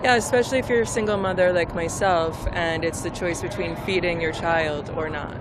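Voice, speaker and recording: A woman in her forties from Maine, a restaurant server speaking bluntly and forcefully in a street interview at a protest march. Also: lowish voice, fairly quick. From Maine, USA. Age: 40s. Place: outdoor city street march. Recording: loud street noise outdoors.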